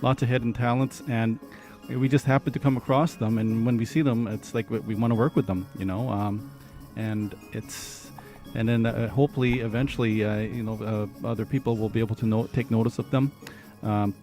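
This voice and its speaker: A man co-hosts a holiday livestream in loose, casual chat. He rambles, cracks jokes and chuckles as he goes. His voice sits at an ordinary pitch over faint background music. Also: steady tone, fairly quick, few pauses, warm tone. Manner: rambling, joking, chuckles often. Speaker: livestream concert co-host.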